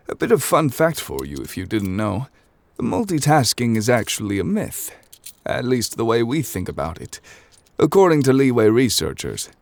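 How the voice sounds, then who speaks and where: A man playing an ancient, courteous, absent-minded god in an ASMR roleplay, speaking formally in a low, gravelly voice with hesitant pauses. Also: no room sound, heavily acted. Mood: sheepish, explanatory. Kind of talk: ASMR audio roleplay, improvised in-character monologue. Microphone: close, dry microphone.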